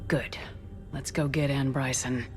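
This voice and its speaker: A woman playing a resolute military space commander in a video game, brisk, businesslike and commanding. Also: very deep voice, level tone, soft background music. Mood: focused, businesslike.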